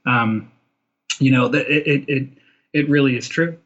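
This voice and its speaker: A man's voice: medium pitch, unhurried, fairly bright tone, muffled recording. A man talking as an interview guest in casual, opinionated conversation, emphatic and repeating phrases to drive points home. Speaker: interview guest, film director.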